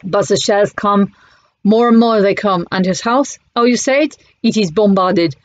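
A woman's voice: mid-range voice, dry recording, close to an everyday voice. A woman doing a mournful French cafe owner. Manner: mournful, halting broken English. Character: weary middle-aged French cafe owner man, broken English. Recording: muffled lo-fi audio.

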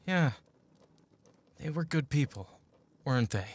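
A man's voice: a little high, animated, unhurried, breathy edge. A man playing an earnest, hesitant young farm lad, a protective older brother, in an audio drama. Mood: polite, subdued.

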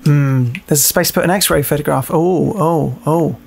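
A man with a slightly high voice and plenty of vocal fry plays a game and commentates casually. He mutters to himself, swears freely and reads on-screen text aloud in a jokey way. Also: normal speed, darkish tone. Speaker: gaming video host playing a horror game.

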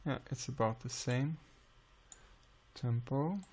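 A man with a Swedish accent calmly explaining music software in a screen-recorded tutorial, pausing often with "uh". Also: mid-range voice, slightly rough. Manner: calm, unhurried explaining with frequent "uh" pauses.

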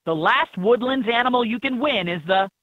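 A woman with a husky voice reading scripted prompts as an encouraging guide in a children's game, on dull toy audio. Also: a little high, normal speed, no room sound, close to an everyday voice.

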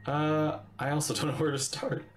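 A man's voice: fairly high pitch, flat delivery, normal speed, a little hiss or hum. A man in his thirties reading dialogue aloud as he streams a game playthrough indoors. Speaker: streamer reading the player character's lines.

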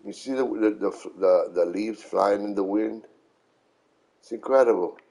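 A middle-aged man giving casual, unhurried live game commentary, repeating himself as he explains. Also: on the low side, dark tone, breathy edge. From New York City, United States. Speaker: video game streamer.

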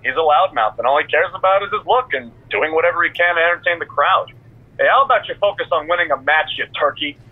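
An actor playing a cocky, goofy wrestler who co-hosts a podcast in a video game, boastful and rambling with a husky voice. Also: male voice, fairly high pitch, fast, dry recording, phone-quality sound. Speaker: podcast co-host. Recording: close, dry radio-like phone sound.

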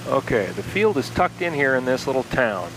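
A man hosting a flight simulator video, calmly talking through each step aloud as he flies from a home cockpit, speaking into an aviation headset. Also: medium pitch, normal speed, noisy background. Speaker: flight sim video host. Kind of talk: casual instructional narration while flying.

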